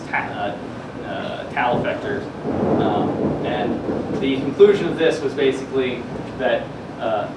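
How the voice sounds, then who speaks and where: Young male presenter, casual and halting science talk, picked up from afar in a boomy, echoing classroom. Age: young adult. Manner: hesitant, halting delivery with frequent filler words. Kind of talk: informal research news presentation. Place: classroom or seminar room, indoors. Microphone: distant camera microphone. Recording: echoey room, distant mic, air-conditioning rumble.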